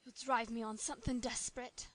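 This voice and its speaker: A woman reading an audiobook, voicing a distressed young woman who pleads in a higher voice. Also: very animated, brisk, fairly bright tone, no room sound. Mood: desperate. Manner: pleading, desperate.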